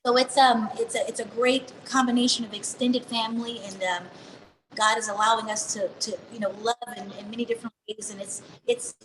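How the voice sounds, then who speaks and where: A woman singer, born in New Jersey and raised in Houston, chatting casually in an interview with quick slangy asides. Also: fairly high pitch, fairly even intonation, background noise. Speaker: R&B singer, guest.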